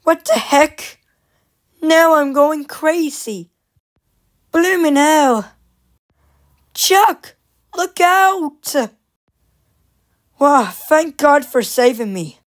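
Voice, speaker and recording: A woman's voice: high voice, animated, very slow, pauses often, heavily acted. A woman voicing a friendly, easily flustered female cartoon bird in exclamatory amateur fan lines.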